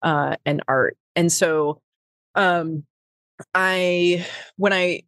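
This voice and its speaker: Brief: middle-aged woman, Wisconsin accent, low voice, dry close recording, meandering interview answers. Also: fairly even intonation, very slow, a bit bright, no room sound. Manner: rambling, many fillers and restarts. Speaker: podcast guest, nonprofit executive. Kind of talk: casual interview talk.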